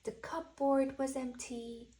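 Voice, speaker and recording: A woman's voice: high-pitched, level tone, takes it slowly, mellow. A woman reading a children's bedtime story aloud, expressively and dramatically, as if to young listeners. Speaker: children's book reader.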